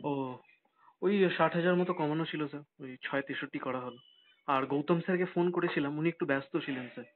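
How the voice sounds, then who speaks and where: A man's voice: a little high, quick pace, slight background noise. A man with a West Bengal accent, speaking as a sales engineer in a hesitant, deferential business negotiation over the phone. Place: recorded phone call. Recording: tinny, narrowband phone call.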